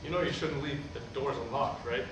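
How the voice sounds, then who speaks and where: A man's voice: medium pitch, brisk, close to an everyday voice. An actor playing a stern, evasive middle-aged preacher father with a curt manner in a staged reading. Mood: businesslike. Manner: curt, dismissive. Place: indoor theatre stage. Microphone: distant camera microphone. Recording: echoey stage recording, far off, noisy.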